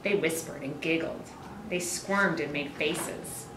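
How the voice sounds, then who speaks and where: A woman's voice: low voice, takes it slowly. A woman reading a children's picture book aloud. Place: school office indoors. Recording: roomy, distant mic, clear background noise.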